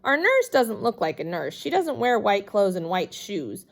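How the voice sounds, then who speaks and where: A woman giving an expressive read-aloud of a children's chapter book in an animated manner. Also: mid-range voice, a little slow, gravelly. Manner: animated, acts out the dialogue. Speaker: storybook reader.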